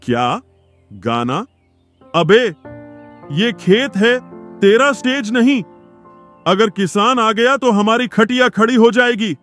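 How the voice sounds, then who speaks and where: A man's voice: sits high, lively intonation, slow pace, long pauses, fairly bright tone, faint music behind, exaggerated voice. A man voicing a sly, clever female fox who teases and scolds her friend with sarcasm. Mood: mocking. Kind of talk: cartoon voice acting.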